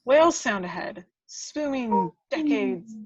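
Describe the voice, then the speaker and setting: A woman's voice: fairly high pitch, expressive, takes it slowly, husky, no echo, muffled recording. Woman narrating screenplay action. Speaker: reader of stage directions. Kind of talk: scripted narration at an amateur table read.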